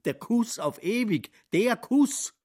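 A fussy, theatrical witness for a radio drama. He speaks slowly and emphatically in a middle-pitched voice with wide swings, savoring every word. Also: sing-song, a little mellow, exaggerated voice. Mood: rapturous, scornful. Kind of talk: German radio play acting.

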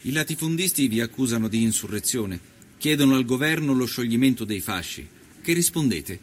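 An actor playing an observant, composed newspaper journalist, narrating a scripted historical documentary. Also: male voice, medium pitch, animated, brisk, husky. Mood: inquiring.

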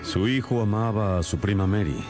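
An actor playing a shrewd, self-assured detective in a radio drama, explaining things in a deliberate, theatrical manner. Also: male voice, fairly low pitch, over loud music, lightly acted. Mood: assured, explanatory.

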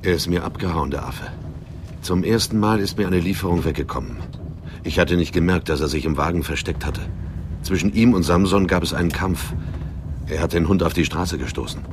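An actor playing a brooding, lonely van driver in a radio drama. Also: male voice, deep voice, fairly quick, background noise, lightly acted. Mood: subdued. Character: brooding working-class delivery van driver Johnny, lonely, devoted.

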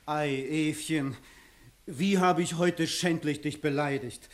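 An actor playing an impulsive, tender-hearted young peasant bridegroom in a 1960s German radio comedy. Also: male voice, fairly high pitch, steady tone, slow pace. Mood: tender, contrite.

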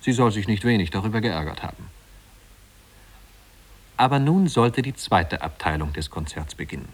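An actor as a composed storyteller, narrating a literary tale in a measured, wry way in a 1950s radio play. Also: male voice, fairly low pitch, sing-song. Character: composed male storyteller of a satirical small-town tale.

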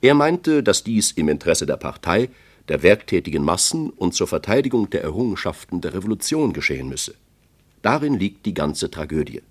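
Deep, emphatic Soviet political orator. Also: male voice, very animated, fairly quick. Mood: bitter, emphatic. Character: male Soviet leader Khrushchev delivering a grave accusatory speech. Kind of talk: formal political speech in a radio play.

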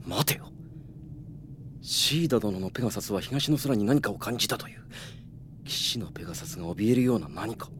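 An actor playing a vigilant, commanding cavalry captain in a Japanese radio drama, given to orders and brooding asides. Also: male voice, expressive, a little fast, pauses often, a little hiss or hum, lightly acted. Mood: brooding, suspicious. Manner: barks orders, broods in low mutters.

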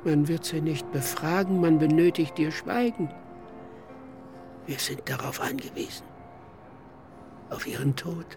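Radio drama role: troubled, plaintive man actor, worn out, dragging pace, music clearly behind. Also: on the high side, unhurried, long pauses, natural voice. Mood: troubled, reflective. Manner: weary, plaintive. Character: weary, troubled soul wanting to be left alone. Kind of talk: stylized radio play acting.

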